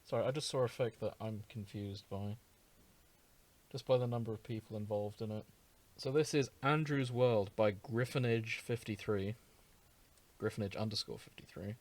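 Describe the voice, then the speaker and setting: A man's voice: on the low side, moderate pace, darkish tone. A man co-hosting a podcast in casual banter among friends, swearing now and then. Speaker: podcast co-host.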